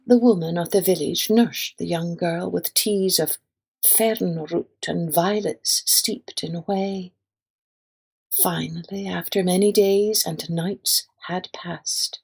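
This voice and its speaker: A woman narrating a children's folk tale in a deliberate, expressive storyteller's manner. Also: on the low side, gravelly, breathy edge. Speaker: storyteller, podcast host.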